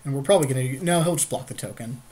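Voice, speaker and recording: A man's voice: mid-range voice, racing, darkish tone, husky, poor audio. A man giving casual, agreeable commentary on a game, talking informally with a partner. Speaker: podcast co-host.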